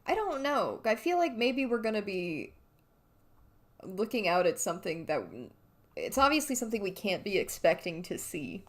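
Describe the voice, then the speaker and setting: A woman's voice: mid-range voice, big pitch swings, moderate pace. A woman co-hosting a game playthrough in casual chat, speculating and thinking aloud. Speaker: let's-play co-host playing the game.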